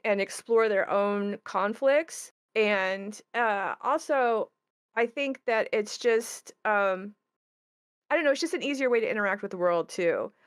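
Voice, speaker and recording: Lady chatting about her work, clear smooth voice, thoughtful, dry sound. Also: medium pitch, dry recording. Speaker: tabletop game creator. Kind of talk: casual panel chat.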